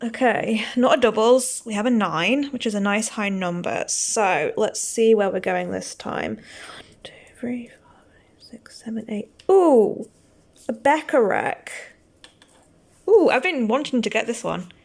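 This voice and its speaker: Croaky-voiced young woman vlogging. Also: fairly high pitch, animated, measured pace. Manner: chatty, rambling, lots of "um" and "I think". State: croaky from a cold. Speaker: book vlogger. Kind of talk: casual book wrap-up chat.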